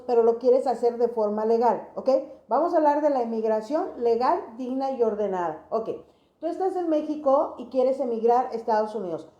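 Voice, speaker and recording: High-voiced woman in an echoey room. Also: warm tone. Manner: didactic, repeats phrases for emphasis. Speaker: host of an immigration advice channel. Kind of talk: informal explainer talk to viewers. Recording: echoey room, dull lo-fi sound.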